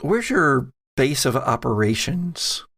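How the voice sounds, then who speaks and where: A man with a mid-pitched, creaky voice runs a tabletop game as its master. He narrates with theatrical storytelling phrasing and talks the players through the rules. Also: very animated, slow pace, fairly bright tone.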